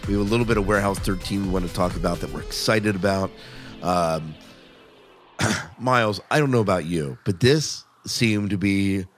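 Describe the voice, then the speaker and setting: A man hosting a podcast, chatting casually and enthusiastically as a fan. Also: deep voice. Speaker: podcast host. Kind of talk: casual fan chat about TV and film.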